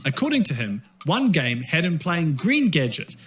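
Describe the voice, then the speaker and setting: A man's voice: fairly high pitch, very animated, moderate pace, faint music behind. A man with a New Zealand accent reading scripted comedic narration in a wry, tongue-in-cheek way. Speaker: video essayist.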